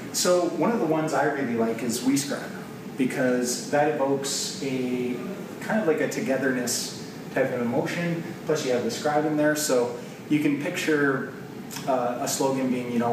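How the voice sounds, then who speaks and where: A Canadian man in his thirties talks through ideas in a conversational, explanatory way, with a mid-pitched voice. He is recorded from a few metres off in a very echoey open office, with clear noise behind him. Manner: conversational, explanatory, thinking aloud. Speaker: software developer presenting a product. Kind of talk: informal whiteboard presentation. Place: large open office loft, indoors. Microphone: camera mic a few metres away. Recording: echoey open room, distant camera mic, noisy. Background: clear background room noise.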